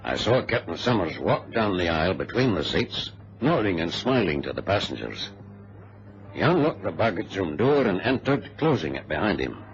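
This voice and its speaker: A man playing a passenger who gravely narrates events in a radio drama. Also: sits low, big pitch swings, moderate pace. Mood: grave, suspenseful. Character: male passenger recounting a hijacking gravely. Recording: dull vintage radio recording, faint noise.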